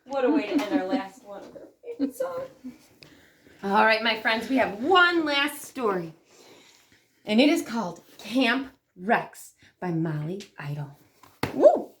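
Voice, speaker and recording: Female storytime host, lively swooping pitch, expressive picture-book reading with chatty asides for young listeners. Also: a little slow, pauses often.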